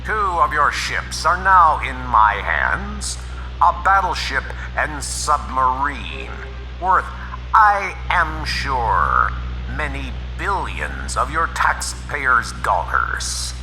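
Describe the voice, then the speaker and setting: A man's voice: mid-range voice, very animated, slow pace, sharp tone, slight background noise, exaggerated voice. A man playing a pompous, theatrical villain airship commander, delivering a mock-polite, menacing ultimatum in a comic dub. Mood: smug, menacing. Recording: long hall reverb, music underneath.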